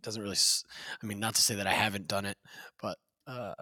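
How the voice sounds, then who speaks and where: A man co-hosting a podcast in casual chat, with a slightly raspy voice, laughing often and restarting his sentences. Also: on the low side, fairly even intonation, brisk, pushed and bright, no echo. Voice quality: a little raspy. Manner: loose, laughing storyteller with many restarts. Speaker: podcast co-host.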